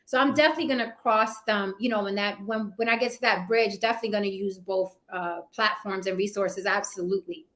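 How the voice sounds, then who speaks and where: Bright, animated woman guest. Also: mid-range voice, fast, few pauses. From Puerto Rican heritage. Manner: animated, repeats words for emphasis, says "right?" often. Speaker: actress and YouTuber guest. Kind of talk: casual interview chat.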